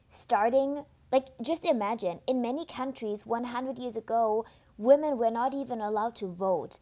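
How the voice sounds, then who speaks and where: High-voiced woman with slight vocal fry. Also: mellow. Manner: casual, chatty, candid, with fillers and restarts. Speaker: podcast host. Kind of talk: solo podcast monologue.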